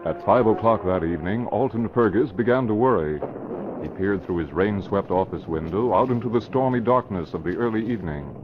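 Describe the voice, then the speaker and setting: A man's voice: on the low side, a little fast, lightly acted. A man narrating a vintage radio crime serial in scripted, storytelling style. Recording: muffled old radio recording.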